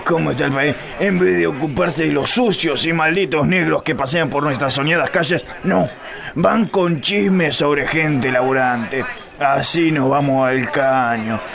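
A man acts out a crooked Argentine police inspector for a live comic radio play. The voice is heavily gravelly and a bit high for a man, gruff, foul-mouthed and dismissive. A noisy crowd is audible behind it. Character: crude, corrupt, lazy, bigoted male police inspector.

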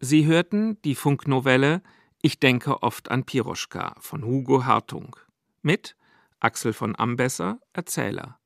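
A man reading the closing credits of a radio play in a measured, formal announcing manner, in an old recording. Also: medium pitch, animated, gravelly, dry recording. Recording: dry old 1951 broadcast with faint music.

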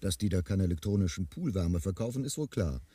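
A cynical man in a 1980s radio crime drama speaks in a deep, husky, mellow voice. He talks quickly, and the sound is close and dry. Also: lively intonation, no room sound.

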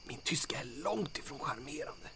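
A man's voice: a little high, expressive, vocal fry, exaggerated voice. A man playing a nervous, reluctant soldier sidekick in a comic audio drama, wry and protesting. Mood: nervous, hushed. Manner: wry, protesting, often hissed whisper or yelled.

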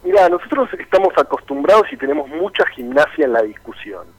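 A man's voice: a little high, big pitch swings, brisk, slightly rough, low-quality recording. A young man with a Buenos Aires accent answering radio interview questions in an articulate, explanatory way. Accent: Buenos Aires Argentine Spanish. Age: young adult. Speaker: political party founder and interviewee.

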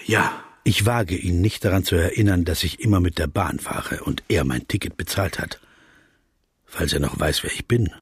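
A man's voice: deep voice, moderate pace, breathy edge, no echo, clean studio sound, full character voice. An actor playing a deferential, anxious interviewer in a radio drama, narrating his thoughts hesitantly and politely. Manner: hesitant, polite, drops into hushed asides.

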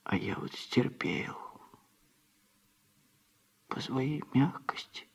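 An actor playing a footloose, self-satisfied womanizer and odd-job man in a Soviet radio drama, speaking colloquially in a husky voice. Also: medium pitch, lively intonation, drawn-out words, in a whisper, exaggerated voice. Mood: grumbling, self-pitying.

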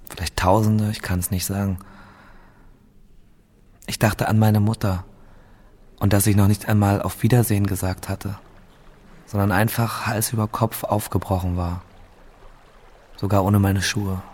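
A man's voice: on the low side, darkish tone. An actor playing a grieving seventeen-year-old boy fleeing war, telling his story in a subdued, reflective radio drama monologue. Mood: weary, reflective.

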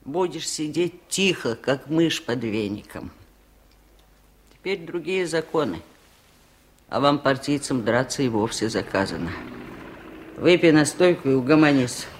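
An older actress in a Soviet radio play, playing a wise, stubborn old village aunt with a wry, chiding manner. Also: female voice, extremely low pitch, animated, unhurried, pauses often, slight background noise.

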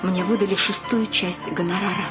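A woman's voice: medium pitch, steady tone, moderate pace, natural voice. A woman acting and narrating in a radio play as a whimsical, wry middle-aged wife and mother. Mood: wry storytelling. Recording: studio radio drama with music behind.

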